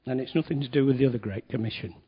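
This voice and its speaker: A man's voice: medium pitch, very fast, slightly rough. A man giving a conversational sermon in a church hall, with wry asides and emphatic stresses. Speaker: lay preacher. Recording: dull lo-fi recording.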